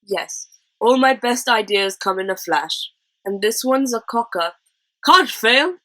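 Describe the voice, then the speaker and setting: A woman's voice: on the high side, animated, slow pace, bright, forceful tone, no room sound, full character voice. Woman doing a storybook pirate chief, male, silver-tongued, wheedling, jaunty, eager. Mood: boastful, eager.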